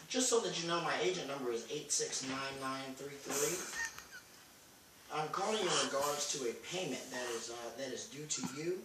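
A middle-aged man playing a formal, official benefits agent in a halting phone manner for a prank call. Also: a little high, lightly acted. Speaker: prank caller. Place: home kitchen and living room, indoors. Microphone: phone camera across the room. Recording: distant phone-camera recording, echoey room, noisy.

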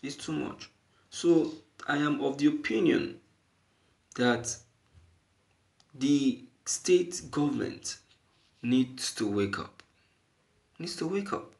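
A man giving opinionated news commentary as an online commentator, halting and deliberate, repeating phrases emphatically for stress. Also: fairly high pitch, gravelly.

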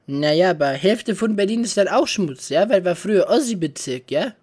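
A woman's voice: fairly low pitch, lively intonation, moderate pace, darkish tone, husky. Young female streamer, prank caller, put-on broken German with a fake accent, desk mic at home. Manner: put-on broken German with a mock foreign accent, ends lines with "ja?". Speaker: streamer prank-calling strangers. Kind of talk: prank chat calls. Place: small room at home with coloured lights. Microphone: studio mic and headset at a desk.

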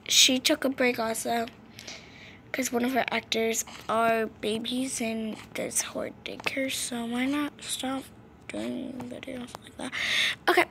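A woman's voice: fairly high pitch, steady tone, a little slow, vocal fry, fairly bright tone. A young woman improvising role-play narration over a video game in a playful sing-song manner, recorded on a phone microphone. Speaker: host of a gaming channel. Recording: noisy amateur phone screen recording.

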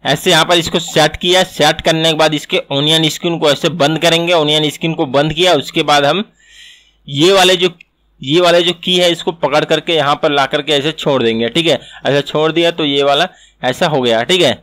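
A man's voice: on the high side, steady tone, moderate pace, fairly bright tone. A man teaching animation in a screen-recorded tutorial, explaining step by step and repeating himself.

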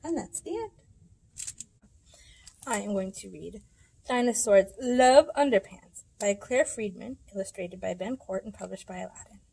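A woman's voice: medium pitch, expressive, normal speed, vocal fry, a little mellow, husky, rough recording. A woman in her thirties reading a rhyming picture book aloud expressively, with a sing-song delivery. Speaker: children's library storytime reader. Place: indoors in a library room.

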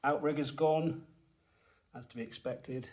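A man's voice: on the high side, fairly even intonation, measured pace, darkish tone. A man in his forties from England, giving matter-of-fact commentary with dry, understated remarks while filming a walkaround of an old car in an indoor garage workshop. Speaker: car restorer, channel host.

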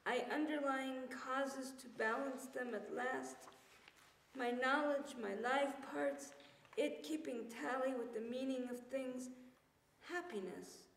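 A middle-aged woman reading poetry aloud as a poet, with deliberate, declamatory phrasing. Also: high-pitched, gravelly. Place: church meeting room with tiled floor, indoors. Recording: roomy, reverberant hall sound.

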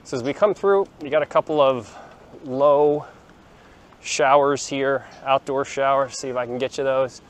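A man's voice: mid-range voice, moderate pace. Man walking and talking outdoors to a phone. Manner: chatty and relaxed, with frequent ums and restarts. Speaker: vlogger showing a beach town. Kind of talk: casual walking tour talk to camera. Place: outdoors, sunny beachfront plaza and beach. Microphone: phone held at arm's length, small clip-on mic.

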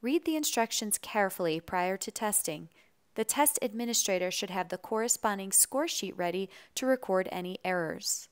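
Smooth-voiced woman narrating a tutorial. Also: mid-range voice. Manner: even, instructional, measured delivery. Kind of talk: scripted voice-over narration.

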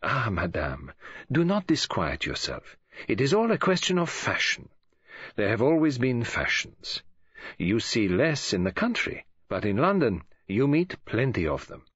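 A man's voice: medium pitch, wildly swooping pitch, a little slow, a bit bright, slightly rough, no room sound, muffled recording, heavily acted. A man voicing a courteous, soothing elderly foreign detective who speaks in a formal, unruffled, philosophical way, in an audiobook.

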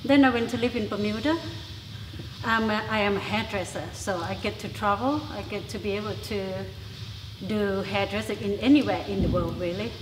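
A woman's voice: mid-range voice, normal speed. A confident, chatty woman in her fifties from Thailand, with a Thai accent mixed with English and New York, talking casually to the camera in a large gym. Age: 50s. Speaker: interviewee. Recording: echoey gym with reverb and noise.

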